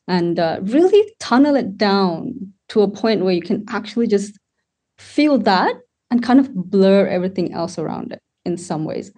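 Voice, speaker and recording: A young woman from Sri Lanka, a wedding photographer, talking casually as a guest and pausing often to find her words. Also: medium pitch, warm tone. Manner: thoughtful, searching for words with many fillers. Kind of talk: casual podcast conversation.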